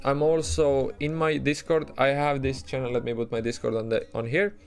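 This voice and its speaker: A man's voice: medium pitch, level tone, moderate pace, faint music behind. A man with an Estonian accent, talking in a loose, chatty way as he streams live and answers his chat, with many restarts and fillers. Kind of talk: casual live-stream commentary.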